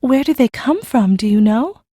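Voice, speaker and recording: A woman's voice: on the high side, expressive, moderate pace, dark tone, no echo, clean studio sound. A woman playing a shy, plain-spoken country girl in her early teens in a fantasy audiobook, naive and hesitant, with rustic grammar. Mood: puzzled. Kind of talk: full-cast audiobook acting.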